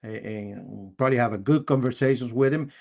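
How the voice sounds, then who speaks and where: Halting Costa Rican man talking sports. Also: medium pitch, gravelly, darkish tone. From Costa Rica. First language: Spanish. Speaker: sports talk show host. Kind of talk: live sports discussion.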